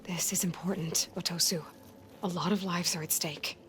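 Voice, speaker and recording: A woman's voice: on the low side, fairly even intonation, moderate pace, fairly bright tone, natural voice. A woman playing a determined, wry young outsider in a naturalistic video game cutscene, persuasive and probing. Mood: earnest, probing.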